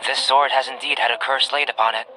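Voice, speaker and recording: A man playing a calm, cerebral young male alchemist in a video game, with measured, formal, articulate delivery. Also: mid-range voice, background noise, close to an everyday voice. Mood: calm, composed. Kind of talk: anime-style video game voice acting. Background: game music.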